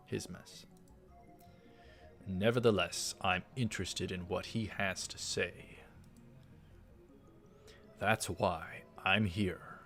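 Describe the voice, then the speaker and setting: A man reading visual novel text aloud in a measured way, dropping in casual asides as a let's player. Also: low voice, a bit bright. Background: game music.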